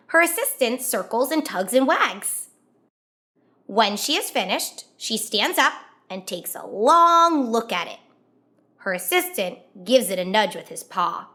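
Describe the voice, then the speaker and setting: A woman reading a children's picture book aloud as a storyteller, expressively and with drawn-out emphasis on key words. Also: fairly high pitch, fairly bright tone. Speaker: children's read-aloud host.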